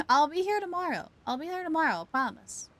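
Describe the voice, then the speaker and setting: A woman streaming a game, chatting animatedly and thinking out loud. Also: extremely high pitch. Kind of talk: casual live-stream commentary.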